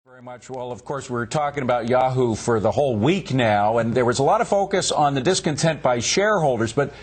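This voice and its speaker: Husky male business news host. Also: medium pitch, expressive. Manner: joking, quick banter. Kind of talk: business news panel hosting.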